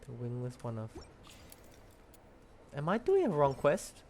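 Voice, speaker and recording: A man's voice: mid-range voice, huge pitch swings, measured pace, darkish tone, a little hiss or hum. A man doing casual gameplay commentary, thinking aloud with brief reactions. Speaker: game streamer. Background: game music.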